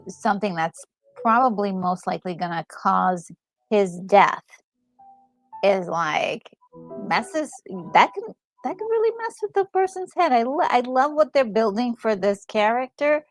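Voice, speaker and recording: A woman co-hosting a gaming discussion, talking casually and enthusiastically in a halting, emphatic way over music. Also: mid-range voice, very animated. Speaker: gaming channel co-host. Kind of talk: casual commentary on a game story. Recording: music playing behind a close, dry voice.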